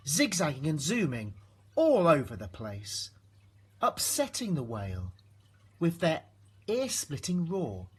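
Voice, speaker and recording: A man reading a rhyming children's storybook aloud in an expressive narrating style. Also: fairly high pitch, slightly rough. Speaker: storybook reader.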